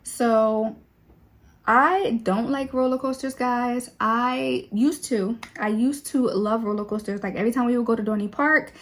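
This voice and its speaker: A young woman talks to her viewers in a loose, relaxed way. Her voice sits a little on the high side. She fills gaps with easy fillers as she chats while doing her makeup. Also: a little slow. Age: 20s. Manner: chatty and relaxed, with many fillers. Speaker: beauty vlogger.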